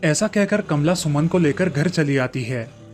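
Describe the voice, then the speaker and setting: Give the voice of a man telling a children's story from a script. His pitch sits a little high for a man. Light music plays softly behind him. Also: expressive, a little slow. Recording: faint music under the voice. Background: soft background music.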